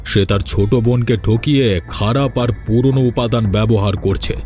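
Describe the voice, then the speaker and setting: A man's voice: on the low side, normal speed, darkish tone, faint music behind, lightly acted. A man voicing a scheming merchant who talks in a smug, threatening way in a cartoon drama. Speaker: villain.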